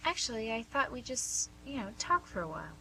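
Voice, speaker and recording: Bright-voiced, coaxing nurse played by a woman. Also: fairly high pitch, very animated, brisk, exaggerated voice. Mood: friendly, coaxing. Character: cheerful, probing, slightly fumbling woman nurse named Angie. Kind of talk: radio drama acting. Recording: noisy amateur recording with faint hum.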